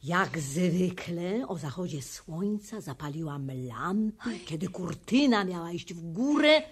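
An actress playing a proud, bossy old theatre widow in a radio play, grumbling and emphatic. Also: female voice, fairly low pitch, animated, slow pace, slight background noise. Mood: solemn, instructive. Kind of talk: Polish radio play acting.

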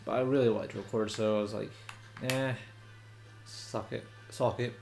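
A stuffy-nosed man giving relaxed, chatty commentary while playing a video game. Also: lowish voice, normal speed, vocal fry, soft background music. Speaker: let's play host. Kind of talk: casual gameplay commentary.

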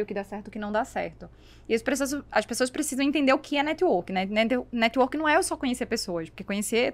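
A Brazilian woman in her thirties explains conversationally as a podcast guest, emphatic, repeating key words into a studio mic. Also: a little high. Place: small foam-lined podcast studio. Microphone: studio microphone on boom arm.

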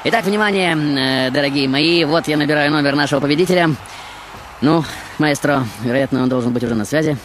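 A man's voice: fairly high pitch, fairly even intonation, fairly quick. A man hosting a radio show in a dramatic, showman-like manner. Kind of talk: theatrical radio show presenting. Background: music.

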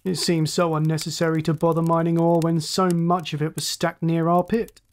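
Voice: medium pitch, flat delivery, moderate pace, a little mellow, natural voice. A narrator voicing a cynical giant of a pirate captain with smug, mocking courtesy in a science fiction story. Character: cynical swarthy giant pirate ship master, gloating.

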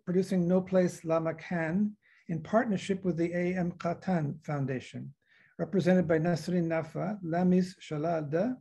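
A man in his sixties hosts an event over a video call from his office. His voice is high, soft-edged and husky. He reads formal opening remarks and often halts to find the next word. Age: 60s. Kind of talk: formal introductory remarks read from notes. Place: office with bookshelves, indoors. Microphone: video call computer microphone.

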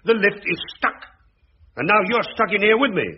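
An actor playing an exasperated, self-important MP, impatient and flustered, in a vintage radio sitcom. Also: male voice, high voice, expressive, moderate pace, very noisy. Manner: blustering, impatient. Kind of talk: vintage British radio comedy acting. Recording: dull vintage radio recording, loud audience. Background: studio audience.

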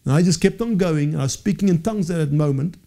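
Afrikaans-native male teacher, 40s, high mellow voice, earnest and self-correcting, studio lapel mic. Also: quick pace, vocal fry. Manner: earnest, animated hand gestures, frequent self-corrections. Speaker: Bible teacher. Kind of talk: teaching session to camera. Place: studio with dark backdrop.

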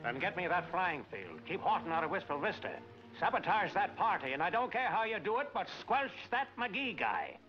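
An actor playing a hard, threatening older crooked boss with a sneering, domineering manner in a 1940s film. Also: male voice, sits high, sing-song, normal speed. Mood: commanding. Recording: dull 1940s film soundtrack with music.

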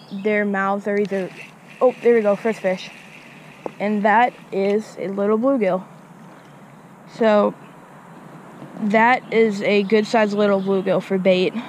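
A woman talks to her viewers while fishing at a small creek, chatty and given to repeating words. Her pitch stays flat and even. The action camera picks up a faint outdoor hiss behind her. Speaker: fishing vlogger. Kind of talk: casual outdoor vlog narration.

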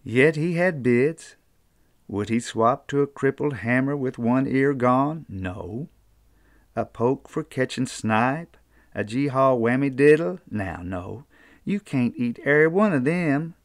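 An older man with an Appalachian accent, reading a children's storybook aloud in an unhurried, folksy way. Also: mid-range voice, animated, dry recording, studio quality. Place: small book-lined room indoors.

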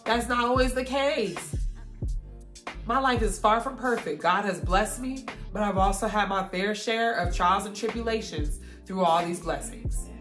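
A young woman vlogging in a casual, encouraging way, her voice hoarse and congested, talking straight to her viewers. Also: medium pitch, a little slow, a bit bright, roomy sound, soft background music. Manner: encouraging, preachy, addresses viewers directly. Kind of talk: casual faith-filled catch-up talk.

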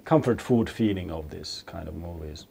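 Low-voiced man. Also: expressive, normal speed, gravelly, darkish tone, slight background noise. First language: Finnish. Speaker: podcast co-host. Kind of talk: casual chat about film. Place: small indoor stage with curtains, seated at a table.

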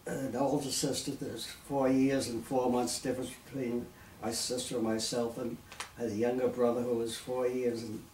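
Old brooklyn guy in his 90s talking slow w lots of pauses, far off mic in an echoey room. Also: medium pitch, level tone, a little mellow. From Brooklyn, New York. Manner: halting, many pauses and throat clears. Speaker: oral history interviewee. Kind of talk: reminiscing interview. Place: living room, seated in armchair. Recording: roomy echo, distant mic, faint hiss.

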